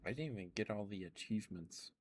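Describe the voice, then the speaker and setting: Male streamer, lowish voice, soft rambling ASMR delivery, close mic. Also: expressive, fast, a little hiss or hum. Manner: soft rambling, talks to chat.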